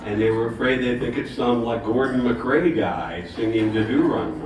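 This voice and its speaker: Deep-voiced man joking from a stage. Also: moderate pace, recorded from a distance, heavy background noise. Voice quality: deep speaking voice. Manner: jokey, self-mocking, chuckling as he talks. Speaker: singer performing a live show. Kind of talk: stage banter between songs. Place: music club stage, indoors. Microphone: stage mic heard from the audience. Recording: echoey club taped from the crowd. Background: loud cheering crowd and band.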